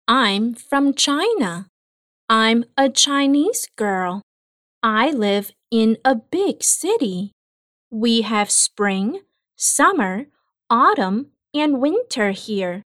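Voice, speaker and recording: A woman reading an English listening test in a slow, carefully spaced delivery. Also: fairly high pitch, dry recording, studio quality. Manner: clear, carefully spaced words. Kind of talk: slow, deliberate exam reading.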